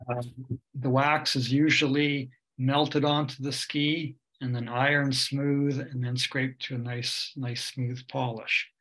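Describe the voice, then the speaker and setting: A man's voice: mid-range voice, level tone, slow pace, fairly bright tone, rough recording. An older man with a Canadian accent, a ski instructor presenting a webinar from home over a video call, explaining steadily with many fillers. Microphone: webcam or computer mic on a video call.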